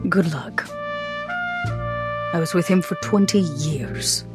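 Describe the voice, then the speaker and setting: Woman voicing a steely, low-voiced female vampire. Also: takes it slowly, over music. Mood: grave, menacing. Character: Vera Volkov, small, steely, menacing female vampire.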